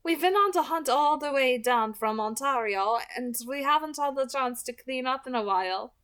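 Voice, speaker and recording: A woman's voice: high voice, lively intonation, normal speed, fairly bright tone, pitched above the performer's own voice. A woman voicing a friendly, curious female vampire pack leader in an audiobook-style reading. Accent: French.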